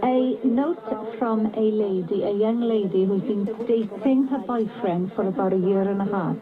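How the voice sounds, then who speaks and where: A woman's voice: mid-range voice, a little slow. A woman radio presenter chatting playfully on air, heard through a radio set. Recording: tinny radio reception with crowd noise.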